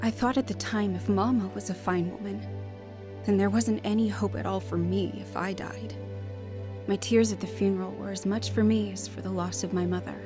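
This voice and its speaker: A woman's voice: mid-range voice, steady tone, moderate pace, music in the background, natural voice. An actress playing a reflective woman narrating her own sorrowful story in a radio drama.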